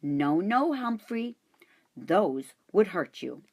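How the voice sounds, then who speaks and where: A woman voicing a caring young girl who speaks high, soft and tearful in a storybook reading. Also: big pitch swings, slow, exaggerated voice. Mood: gently scolding.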